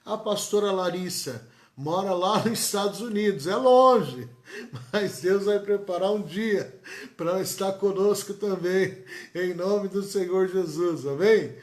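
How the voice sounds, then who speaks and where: Echoey-voiced São pastor. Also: male voice, high voice, measured pace. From São Paulo, Brazil. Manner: emphatic and repetitive, folksy asides to viewers. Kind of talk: live-streamed church announcements and blessings. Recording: echoey church room.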